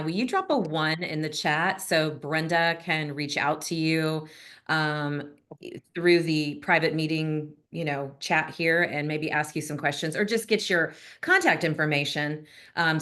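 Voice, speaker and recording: A woman's voice: low-pitched, unhurried, fairly bright tone. A woman hosting a group coaching call, chatty and encouraging, with many fillers. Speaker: notary business coach, call host.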